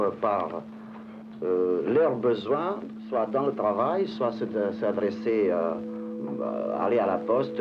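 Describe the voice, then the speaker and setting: A man's voice: on the low side, dull audio. A man who teaches literacy, explaining his approach earnestly while searching for words.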